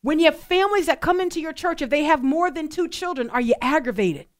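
Emphatic higher-voiced woman radio host. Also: animated, fast. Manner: emphatic, repeats phrases for stress. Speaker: radio call-in show host. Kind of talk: live talk radio commentary.

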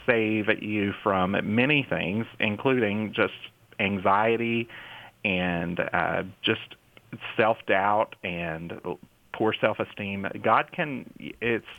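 A man in his forties with a Mississippi Southern accent, a children's pastor telling his story as a guest in a casual interview, halting with fillers and restarts. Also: fairly low pitch, no echo. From Mississippi, USA. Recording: tinny phone-like line.

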